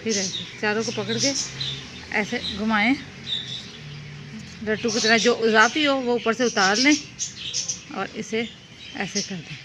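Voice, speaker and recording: A woman, calmly explaining a home cooking recipe step by step while she works. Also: a little high, measured pace, long pauses. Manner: calm, instructive, talks while working with hands. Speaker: home cooking channel host. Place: home kitchen. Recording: loud background noise, birds chirping.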